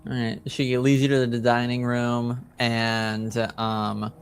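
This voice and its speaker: Male game master, improvised tabletop narration, smooth clean tone, slow hesitant delivery padded with fillers. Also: medium pitch, little pitch movement. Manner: hesitant, halting, many filler words.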